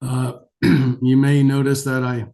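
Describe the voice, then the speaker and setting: A man with a scratchy voice from a cold delivers a webinar lecture. His tone is mellow and soft-edged, at a middling pitch. He talks conversationally and somewhat hesitantly, in a self-deprecating way, as a doctor addressing learners. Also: little pitch movement, slow. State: has a cold. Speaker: geriatric physician giving a lecture.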